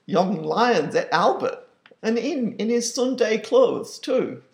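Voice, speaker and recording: A man voicing a flustered working-class father with a northern English accent, deadpan and matter-of-fact. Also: sits high, slow pace, vocal fry, voice pushed up, full character voice. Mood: indignant. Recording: roomy echo.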